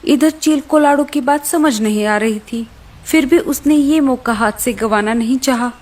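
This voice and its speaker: A woman narrator with a fairly high voice tells a children's cartoon tale. She reads from a script in storytelling style. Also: normal speed, darkish tone.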